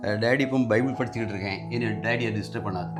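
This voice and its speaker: Man as a very fast-talking, brusque father. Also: fairly low pitch, over loud music, lightly acted. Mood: dismissive. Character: busy, dismissive father brushing off his young son. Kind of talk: amateur children's skit acting.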